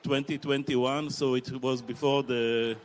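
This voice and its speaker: A man, answering on a conference panel with many hesitant pauses. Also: mid-range voice, steady tone. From Israel. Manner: halting, many filler pauses. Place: conference stage.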